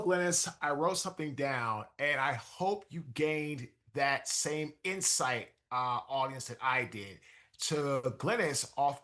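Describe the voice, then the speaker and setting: A man's voice: mid-range voice, bright, forceful tone. A man hosting a conversational interview in an emphatic, motivational way. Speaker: podcast host.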